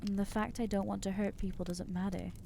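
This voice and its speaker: A woman's voice: mid-range voice, flat delivery, a little fast, vocal fry, dark tone, a little hiss or hum, natural voice. A woman voicing an anxious, self-loathing young female vampire in an ASMR audio roleplay, talking hesitantly and putting herself down. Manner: hesitant, self-deprecating, trailing off.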